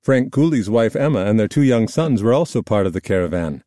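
Mellow man narrating, dry studio sound. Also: lowish voice, expressive, a little fast, no echo. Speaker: audiobook narrator. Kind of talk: scripted nonfiction narration.

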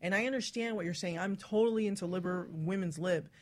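A woman's voice: lowish voice, quick pace. A woman hosting a casual radio panel chat, talking bluntly and swearing freely. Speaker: radio show host.

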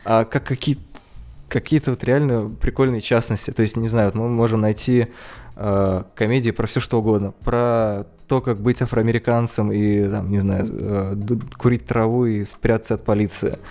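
A man's voice: fairly low pitch, steady tone, moderate pace, warm tone. A man co-hosting a podcast, musing aloud in casual chat. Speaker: podcast co-host.

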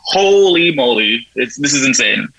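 A man's voice: medium pitch, big pitch swings, measured pace, vocal fry, sharp tone, husky, dry recording, low-quality recording. A man in his thirties from Canada, a writer and producer, answering interview questions in a chatty, eager way, often saying "like" and laughing. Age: 30s.

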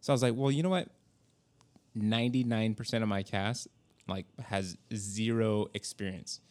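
A man with a fairly low voice hosts a casual podcast. He talks informally and with enthusiasm, dropping swear words as he goes. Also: normal speed. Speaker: podcast host and filmmaker.

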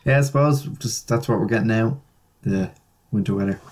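An Irish man co-hosting a casual podcast, laid-back and quick to laugh, with plenty of fillers. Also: fairly low pitch, darkish tone. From Ireland. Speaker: podcast co-host.

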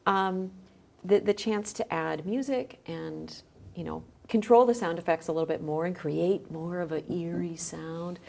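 A woman speaking as a podcast guest, explaining her creative work in casual conversation with halting phrasing. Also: on the low side, fairly even intonation, vocal fry, warm tone. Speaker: podcast guest, musician and educator.

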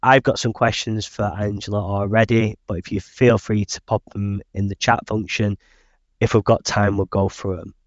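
A man's voice: fairly low pitch, level tone, moderate pace, no room sound. A man hosting a webinar interview over a video call, with meandering phrasing and a habit of saying "I suppose". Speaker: host and recruitment director. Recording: dull, dry lo-fi call audio.

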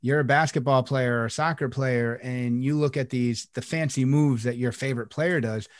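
A man co-hosting a coaching podcast, who talks in a relaxed, explanatory way and often restarts his phrases. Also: medium pitch, moderate pace, no echo. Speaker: life coach, podcast co-host. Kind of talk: casual conversational podcast chat.

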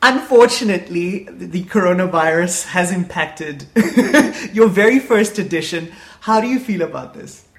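A man's voice: high-pitched, expressive, normal speed, hardly any pauses. A man with a South African accent hosting a casual radio interview in an upbeat, chatty, effusive manner.

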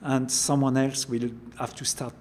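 A man's voice: mid-range voice, flat delivery, unhurried, a little mellow, slight background noise. An older man with a French accent, a chef answering interview questions, often repeating words as he searches for phrasing. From France. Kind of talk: interview conversation.

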